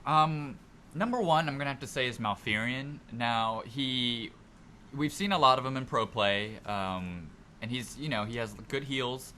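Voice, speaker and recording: A man's voice: mid-range voice, unhurried, bright, forceful tone. A man co-hosting a casual gaming podcast, explaining his picks in an informal, easygoing way. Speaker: podcast co-host. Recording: noisy amateur podcast recording.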